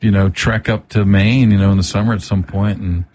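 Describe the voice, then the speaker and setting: A man's voice: lowish voice, steady tone, fairly quick, darkish tone. A man from Hawaii chatting casually as a guest musician on a radio show, rambling in a laid-back, hippie way. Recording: clearly noisy background.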